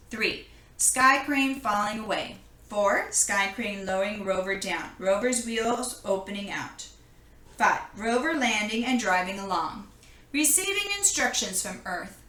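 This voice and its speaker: A woman reading a children's science picture book aloud in an explanatory way, pausing to point things out. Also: a little high, pushed and bright. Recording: echoey room.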